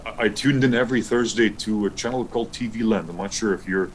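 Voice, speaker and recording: A man from Lebanon, a pro wrestler and wrestling school founder, answering interview questions in an easygoing, steady way. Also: fairly low pitch, fast talker, slight background noise, poor audio.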